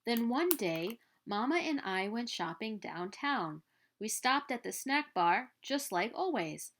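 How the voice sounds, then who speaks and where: A woman reading a children's picture book aloud in an expressive storytelling style. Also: on the high side, takes it slowly. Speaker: children's story reader at a library.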